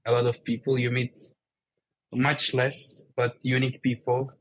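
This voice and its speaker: Turkish-accented man speaking in a flat, even tone. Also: mid-range voice, measured pace, no echo, low-quality recording. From Turkey. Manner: explains plainly in non-native English. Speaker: guest, company CEO. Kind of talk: casual interview conversation.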